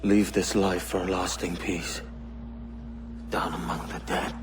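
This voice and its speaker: An actor playing a gruff, resolute pirate captain in a video game, terse and plain-spoken. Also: male voice, deep voice, flat delivery, measured pace, noisy background. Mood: solemn. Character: gruff, resolute young male pirate captain turned assassin. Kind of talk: dramatic video game acting.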